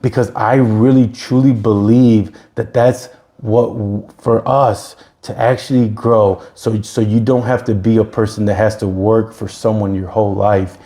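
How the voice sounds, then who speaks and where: A man in his forties hosts a casual podcast chat. His voice is gravelly and mellow, and he talks in an earnest, repetitive pep-talk style with plenty of restarts. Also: lowish voice, flat delivery, unhurried, hardly any pauses. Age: 40s. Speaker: podcast host.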